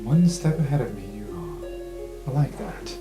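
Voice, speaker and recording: A man voicing a knowing, wry informant in an improvised fantasy roleplay podcast, explaining in measured phrases with theatrical pauses. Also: medium pitch, sing-song. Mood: explanatory, wry. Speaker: game master. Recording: roomy sound with guitar music underneath.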